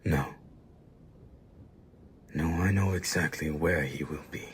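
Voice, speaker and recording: A man playing a grim, grieving younger brother and monster hunter in a gothic audio drama, slow and solemn, with a husky, breathy voice. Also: a bass voice, a little hiss or hum. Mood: weary, resolved.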